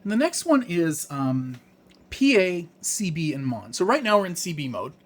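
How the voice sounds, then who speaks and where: A man's voice: on the high side, sing-song, moderate pace. A man presenting a hands-on gadget demonstration in a casual, unscripted way, explaining things in plain, informal words.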